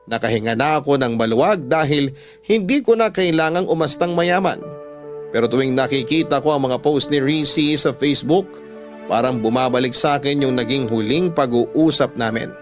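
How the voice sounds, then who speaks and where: A man's voice: mid-range voice, normal speed. A man with a Filipino accent narrating a listener's letter in the first person as a radio storytelling host. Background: music.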